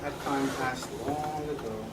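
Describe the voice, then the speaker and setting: An actor playing a wary, reflective man in his fifties on stage, speaking in a halting, hesitant way. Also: medium pitch, fairly even intonation, lightly acted. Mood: uneasy, reproachful. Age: middle-aged. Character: wary, reflective man past fifty facing an old friend. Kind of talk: live stage play acting. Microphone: far camera. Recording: distant, echoey theatre recording with noise.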